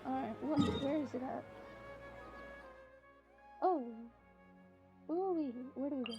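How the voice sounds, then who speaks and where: A woman's voice: sits high, slow pace, dark tone, dull audio, faint music behind. A young woman chatting casually while playing a game, heard through a poor-quality mic. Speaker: gamer playing and reacting. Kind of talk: casual chat while gaming. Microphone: low-quality gaming voice chat.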